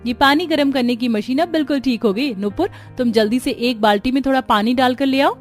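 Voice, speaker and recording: A woman's voice: high voice, a little fast, soft background music, lightly acted. A woman voicing a resourceful, practical young daughter-in-law in a cartoon story. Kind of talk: Hindi cartoon story acting.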